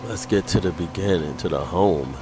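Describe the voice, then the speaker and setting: A man's voice: deep voice, normal speed, background noise. A tired man giving casual live commentary on a game, slangy and sweary, muttering to himself and his chat. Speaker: video game streamer.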